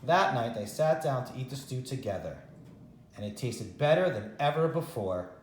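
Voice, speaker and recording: A man reading a children's story aloud as a teacher, expressively and with dramatic pauses. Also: medium pitch, lively intonation, echoey room, a little hiss or hum. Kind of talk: animated read-aloud storytime narration.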